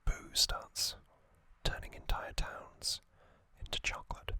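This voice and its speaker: A man whispering trivia softly for an ASMR video, close to the microphone, with frequent ums and uhs. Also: slow pace, dry recording. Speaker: ASMR channel host.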